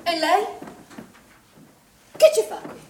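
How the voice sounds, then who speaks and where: High-pitched, defensive woman pool director. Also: animated, slow pace, mellow, heavily acted. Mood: alarmed. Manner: formal, firm, defensive. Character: firm, defensive female director of a swimming pool. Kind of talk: naturalistic stage drama dialogue. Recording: roomy, distant stage recording with noise.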